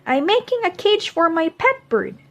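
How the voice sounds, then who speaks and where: A woman's voice: extremely high pitch, sing-song, normal speed, muffled recording, voice pushed up. A woman voicing a small, young, stubborn boy mole from a children's storybook, with emphatic childlike exclaiming. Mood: determined.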